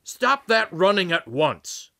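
A man playing an angry, fat ship captain with booming, commanding shouts in an audiobook. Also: sing-song, slow pace, vocal fry, heavily acted. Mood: bellowing anger.

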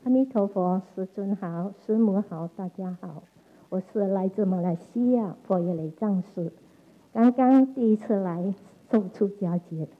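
A newly ordained nun giving grateful, emotional thanks to the assembly. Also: female voice, mid-range voice, unhurried, narrow, tinny audio.

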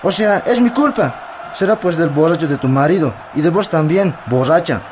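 Resentful schoolboy, higher-pitched young man acting. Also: expressive, moderate pace, natural voice. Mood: angry, reproachful. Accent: Bolivian. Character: angry, resentful schoolboy son of drinking parents. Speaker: radio drama actor. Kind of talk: radio drama acting. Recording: clearly noisy scene ambience.

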